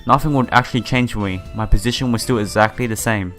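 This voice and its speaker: A man's voice: fairly low pitch, quick pace, a bit bright. A man hosting a visual novel playthrough, reading the text aloud with stumbles and casual, sympathetic asides. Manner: stumbles over read lines, sympathetic asides. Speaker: host of a game playthrough. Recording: music behind the voice.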